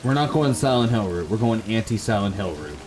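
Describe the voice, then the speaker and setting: A man's voice: lowish voice, big pitch swings, fairly quick, noisy background. A man hosting a gaming video, moving between casual chat and dramatic reading of the game's text. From Canada. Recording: background music under the voice.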